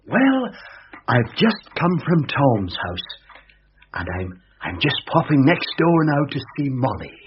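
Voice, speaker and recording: Bashful stammery santa in an old radio play, slow, bouncy voice, dull hissy tape. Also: male voice, on the high side, sing-song. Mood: cheerful. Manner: hesitant, stammering, chuckling. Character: shy man, Joe, dressed as Father Christmas. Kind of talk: radio drama acting.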